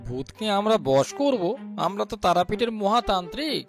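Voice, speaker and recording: Man playing a high, creaky, timid companion. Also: very animated, normal speed, over loud music. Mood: doubtful. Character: timid, easily frightened male ghost-hunting companion. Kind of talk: animated horror story dialogue.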